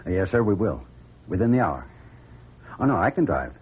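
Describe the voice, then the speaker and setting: An actor playing an emphatic, commanding male astronaut commander in a radio drama, with a husky voice. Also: fairly low pitch, big pitch swings, normal speed, heavily acted. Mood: serious. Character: male astronaut commander, husband, exasperated but steady. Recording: muffled radio drama recording.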